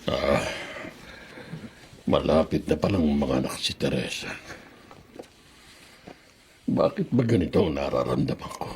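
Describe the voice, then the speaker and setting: A man's voice: deep voice, fairly bright tone, breathy edge, heavily acted. An actor playing a proud, resentful older grandfather who dotes on his grandchild in a Filipino drama. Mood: brooding. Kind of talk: Filipino radio-style drama acting.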